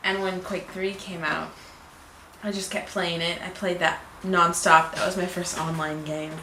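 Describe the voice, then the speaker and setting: Woman gamer, lowish, relaxed, echoey noisy room. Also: unhurried. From San Jose, California. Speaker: pro gamer. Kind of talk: casual interview.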